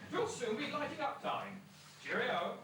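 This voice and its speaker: A man's voice: very high voice, sing-song, a little slow, exaggerated voice. A man acting a bumbling, cheeky father in an amateur rhyming pantomime, exclamatory and comic. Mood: pleading, indignant. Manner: exclamatory, comic, theatrical asides. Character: bumbling, cheeky father lost in a fairy-tale land. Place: school hall stage. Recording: echoey distant stage recording, loud hiss.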